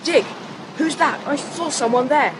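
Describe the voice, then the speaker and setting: An actor playing a sensible, confident schoolboy who leads young adventurers in a children's audiobook drama, speaking briskly. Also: high-pitched, background noise. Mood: brisk, intrigued.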